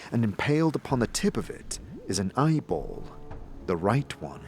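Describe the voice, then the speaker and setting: A man narrating a dark fantasy audio drama in the second person, with deliberate, suspenseful storytelling. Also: medium pitch, very animated, faint music behind.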